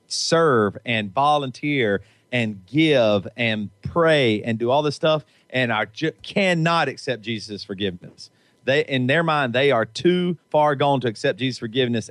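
A man talks in an earnest, preachy way, tripping over and repeating his words. His voice sits in a middle pitch, recorded close and dry. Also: a bit bright, dry recording. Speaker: podcast co-host. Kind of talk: casual chat.

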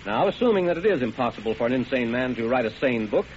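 An actor playing a patient, formal trial judge, courteous and dryly bewildered, in 1940s radio drama style. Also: male voice, mid-range voice, expressive, fast talker, lightly acted. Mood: probing, firm. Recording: noisy, muffled vintage radio broadcast.

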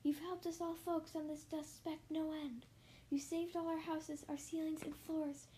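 A woman's voice: sits high, level tone, unhurried, mellow, a little hiss or hum, voice pushed up. A woman voicing a tiny, friendly mayor of a miniature town, piping politely with proud gratitude. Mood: grateful.

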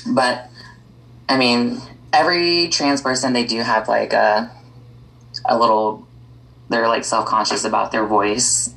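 A woman's voice: extremely low pitch, steady tone, measured pace, gravelly, long pauses, fairly bright tone, poor audio. A woman speaking as a podcast guest in a casual conversation, easygoing and thinking aloud with frequent ums and likes.

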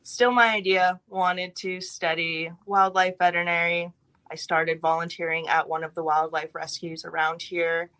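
A young woman from California, a guest on a podcast, recounting her past in a brisk, matter-of-fact manner. Her voice sits a little low yet sounds bright and forceful. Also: flat delivery. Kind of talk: telling her life story in an interview.

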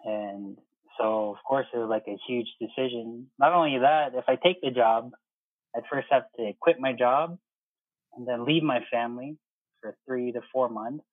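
A man's voice: medium pitch, normal speed, dry recording. A man in his thirties telling his own story casually as an interview guest, conversational and retelling exchanges in quoted speech, over a muffled phone-like line. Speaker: interview guest, air traffic controller.

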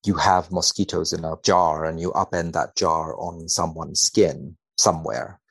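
Very deep, creaky-voiced man. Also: steady tone, a little slow, fairly bright tone, no room sound. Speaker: biomedical researcher, podcast guest. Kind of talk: conversational commentary and reading aloud from a study.

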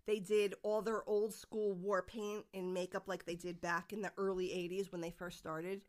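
A woman's voice: medium pitch, level tone, moderate pace, a little mellow. Middle-aged woman, podcast guest, chatty rambling storyteller. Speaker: guest, musician's mother. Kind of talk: casual podcast chat.

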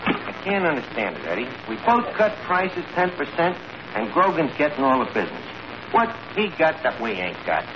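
An actor playing a brash, scheming tavern manager in a 1940s radio comedy, with a New York accent and a bluffing, word-mangling way of talking. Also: male voice, on the high side, wildly swooping pitch, normal speed. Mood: scheming, indignant. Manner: bluffing, mangles grammar and fancy words. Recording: noisy muffled old radio broadcast, audience behind.

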